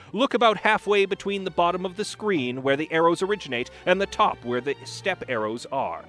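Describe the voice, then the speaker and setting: A man with a fairly high voice delivers a dramatic reading of instructions over clear background music. He is deliberate and theatrical, treating practical tips with mock seriousness. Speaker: reader of a comic dramatic reading. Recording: music playing behind the voice.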